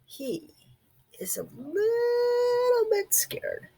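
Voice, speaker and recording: A woman reading a children's storybook aloud expressively, pausing to put questions to young listeners. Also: very high voice, huge pitch swings, warm tone. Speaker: storybook reader. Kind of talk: children's read-aloud narration.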